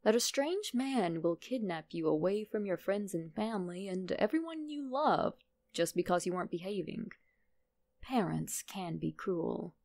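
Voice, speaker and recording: A woman narrating a horror story in a measured, deliberate, dramatic way. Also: medium pitch, lively intonation, darkish tone.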